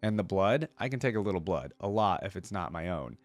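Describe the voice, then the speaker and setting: A man's voice: low-pitched, sing-song, brisk, no echo. A man reading the part of a brash young female assassin from game lore, cheeky and bantering. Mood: brash, impatient.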